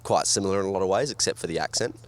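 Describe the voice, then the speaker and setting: An Australian man answering street interview questions in a relaxed, friendly way. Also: low-pitched, very fast. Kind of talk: casual vox-pop interview.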